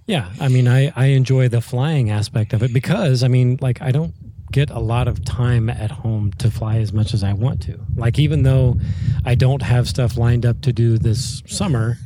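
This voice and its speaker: A man's voice: medium pitch, normal speed, noisy background. A man co-hosting a podcast, musing in casual chat with thoughtful, winding sentences. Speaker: podcast co-host.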